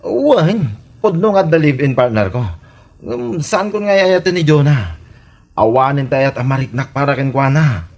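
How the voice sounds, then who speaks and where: Actor as slick Filipino two-timer, swinging intonation. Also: male voice, mid-range voice, lively intonation, moderate pace. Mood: pleading. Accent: Filipino, Ilocano. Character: evasive two-timing young man, irritable and smooth-talking. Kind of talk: Ilocano comedy radio drama acting.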